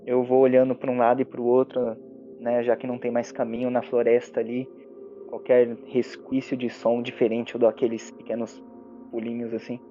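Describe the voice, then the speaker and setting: Mellow Brazilian man playing a tabletop game. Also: mid-range voice, monotone, moderate pace. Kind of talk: casual in-game description. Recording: muffled lo-fi audio with music. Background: music.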